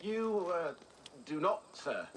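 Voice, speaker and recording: A man's voice: high-pitched, big pitch swings, slow pace, noisy background, exaggerated voice. A man voicing a suspicious but deferential soldier captain in a video game, speaking hesitantly to his lord. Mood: puzzled, deferential. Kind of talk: video game story acting.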